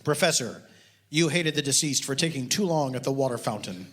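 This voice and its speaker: A man's voice: mid-range voice, animated, a little fast, a bit bright, lightly acted. Man playing a pompous retired pilot detective. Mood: accusing. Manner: grave, pompous. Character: heroic retired male pilot detective, solemn and self-important.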